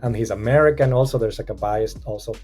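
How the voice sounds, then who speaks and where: A man's voice: lowish voice, fast, darkish tone, soft background music. A man who grew up in Costa Rica, talking in a casual podcast discussion. He speaks animatedly, restarts often and acts out the people he quotes.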